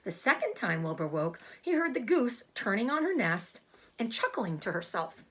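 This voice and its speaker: A woman narrates a children's storybook as a teacher would. Her delivery is expressive, with a mid-range voice that rises and falls animatedly. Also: medium pitch, moderate pace. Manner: expressive, explains hard words. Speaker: teacher reading aloud. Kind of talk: storybook read-aloud narration.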